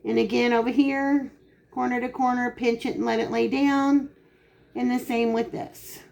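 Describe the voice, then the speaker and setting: A woman hosting a home cooking video, her voice dry and raspy today, chatting casually as she explains each step. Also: fairly high pitch, little pitch movement, normal speed, a little mellow. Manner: chatty, explains steps while working. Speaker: cooking channel host. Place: home kitchen.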